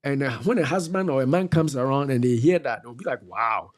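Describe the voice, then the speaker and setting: A man's voice: mid-range voice, fairly quick. A middle-aged man gives faith-based advice on a talk show. He exhorts his listeners and repeats his key phrases like a preacher. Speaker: co-host.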